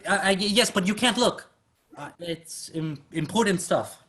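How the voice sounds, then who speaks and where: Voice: medium pitch, animated, a little slow, fairly bright tone. An actor playing a flustered, defensive grown son, stammering and evasive, in a staged comedy reading.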